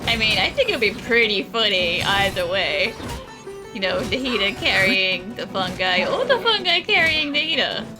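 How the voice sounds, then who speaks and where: A woman's voice: medium pitch, sing-song, normal speed, over music. A woman giving casual, wry, teasing commentary as she plays a video game. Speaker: gamer commenting on a playthrough.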